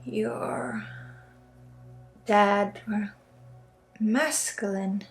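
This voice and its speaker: A woman with a Swedish accent leading an ASMR energy healing session, soft-spoken with pauses and often dropping into whispers. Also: medium pitch, steady tone. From Sweden. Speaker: ASMR energy healer and spiritual guide. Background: music.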